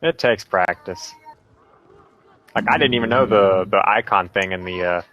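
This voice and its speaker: A man's voice: sits low, normal speed, fairly bright tone, highs cut off. Chatty American man on voice chat. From United States. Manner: chatty, repeats words as he restarts. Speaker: voice chat friend. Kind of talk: casual gaming chat.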